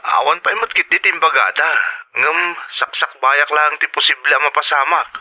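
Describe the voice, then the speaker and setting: A man's voice: a little high, moderate pace, phone-quality sound, lightly acted. A man playing an anxious, apologetic husband and migrant worker in a radio drama, with an Ilocano accent and earnest, emotive delivery. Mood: worried. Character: Robert, an anxious husband working overseas, apologetic.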